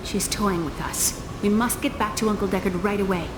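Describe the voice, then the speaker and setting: A woman's voice: medium pitch, normal speed, background noise, natural voice. Woman playing an earnest young adventurer, echoey. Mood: worried. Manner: earnest, natural. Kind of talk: video game voice acting. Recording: echoey, over game music.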